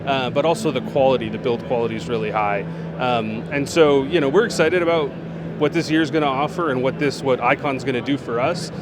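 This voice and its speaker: A man speaks in a mid-range voice, relaxed and chatty, often pausing on "um" and restarting his sentences. He is answering questions as a guest at a busy trade show, and noise from the floor carries clearly behind him. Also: mid-range voice. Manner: relaxed, frequent fillers and restarts. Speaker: product manager, interview guest. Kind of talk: casual trade-show interview.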